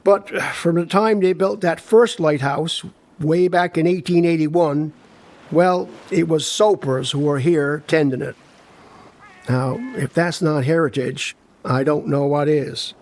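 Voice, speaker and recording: A man's voice: fairly high pitch, fairly even intonation, a little slow, a little mellow. An older man with a Newfoundland accent playing a friendly, proud village local who reminisces in a chatty, folksy storytelling monologue with asides. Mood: fond, proud.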